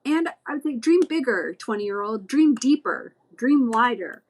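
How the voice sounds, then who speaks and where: A woman in a casual group podcast chat, warmly encouraging and speaking with many fillers. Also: a little high, animated, measured pace. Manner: encouraging, lots of affirming murmurs and fillers. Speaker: podcast guest.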